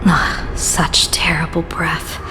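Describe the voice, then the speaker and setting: A game's female general, a sharp strategist with a lowish voice and unhurried delivery. She is formal, measured and quietly amused. Her words carry a long reverb over clear background music. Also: flat delivery, in a whisper. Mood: wry, grave. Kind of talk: video game story dialogue.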